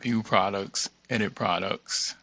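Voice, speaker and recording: A man's voice: fairly low pitch, fairly even intonation, slow pace, creaky, sharp tone. A man hosting an unscripted tutorial, muttering to himself as he reads items off one by one and thinks aloud. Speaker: tutorial host. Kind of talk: unscripted screen-share walkthrough, thinking aloud.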